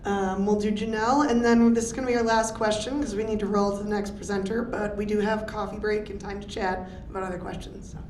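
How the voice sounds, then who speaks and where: Fast-talking woman moderator in echoey room. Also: mid-range voice, flat delivery, darkish tone. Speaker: workshop moderator. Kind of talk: brisk event housekeeping. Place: conference room. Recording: roomy conference room echo.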